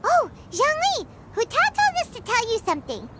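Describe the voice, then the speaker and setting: A woman voicing a cheeky, excitable little fairy companion in a video game. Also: very high voice, normal speed, very noisy, exaggerated voice. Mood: eager. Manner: excitable, refers to self by name. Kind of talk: scripted video game character dialogue.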